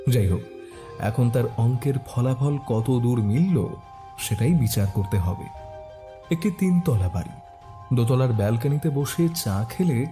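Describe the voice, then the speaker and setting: A man's voice: mid-range voice, warm tone. A man giving scripted first-person narration of a detective story as its narrator.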